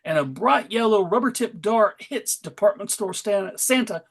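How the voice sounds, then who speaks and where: A man's voice: high-pitched, lively intonation, moderate pace. A man hosting and narrating a dramatic comic-book table read with wry, tongue-in-cheek asides. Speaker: host and narrator.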